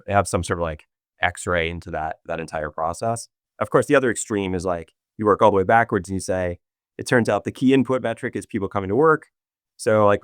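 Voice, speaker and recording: A man being interviewed as a business executive, explaining his views in an animated way with many restarts. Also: sits low, no room sound, clean studio sound. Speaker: guest, revenue operations executive. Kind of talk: casual interview chat.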